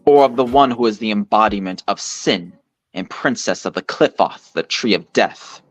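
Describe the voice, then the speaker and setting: A man's voice: on the low side, moderate pace. A man delivering a monologue to an audience in wordy, formal, pointed phrasing. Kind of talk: monologue addressed to camera and audience.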